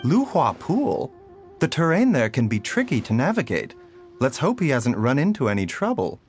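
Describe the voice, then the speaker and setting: Calm polite doctor, formal, mid voice with lilting range, video game. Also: male voice, medium pitch, normal speed, soft background music. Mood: concerned. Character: calm, courteous, composed male physician.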